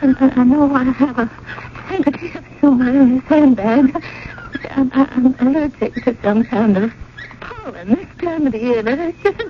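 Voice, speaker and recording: A woman's voice: sits high, normal speed, close to an everyday voice. An actress playing a genteel, sentimental old widow in a radio drama, chatty and ladylike, with hesitant repetitions. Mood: tearful, flustered. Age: older. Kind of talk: 1980 American radio drama acting. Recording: dull, noisy old radio broadcast.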